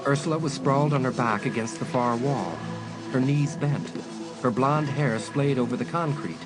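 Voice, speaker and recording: A man's voice: medium pitch, moderate pace, rough recording. A man narrating a suspense story as a dramatic scripted audiobook. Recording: music bed under narration.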